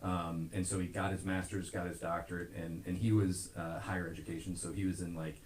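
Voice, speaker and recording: A very low-voiced American man talks in a casual interview. He speaks earnestly and rambles along, leaning on filler phrases. The room adds a noticeable echo to his voice. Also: level tone, brisk, darkish tone, slight background noise. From Omaha, Nebraska. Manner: rambling, earnest, frequent "you know". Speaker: podcast guest, gym owner. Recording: roomy, echoing podcast recording.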